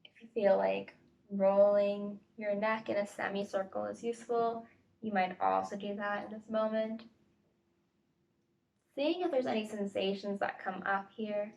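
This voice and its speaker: A woman facilitator leading a guided body scan meditation with gentle, tentative invitations and pauses, recorded at home. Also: mid-range voice, steady tone, vocal fry, lo-fi sound. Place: at home, indoors. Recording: home recording, distant mic.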